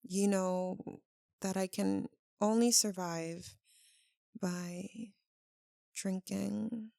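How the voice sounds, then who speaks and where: Woman playing a slow, gravelly, melancholy vampire lover. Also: mid-range voice, steady tone, dark tone, no room sound, clean studio sound. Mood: solemn, explaining. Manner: hesitant, halting, earnest, with long dramatic pauses. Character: tender, tormented female vampire lover, reluctant and melancholy. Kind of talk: slow, intimate ASMR roleplay.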